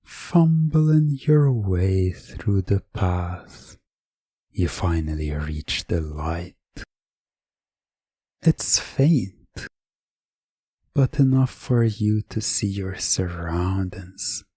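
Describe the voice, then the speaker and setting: A man narrating a scripted second-person story for hypnosis ASMR, measured and deliberate, with dramatic pauses. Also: deep voice, animated, mellow, husky, no echo, clean studio sound.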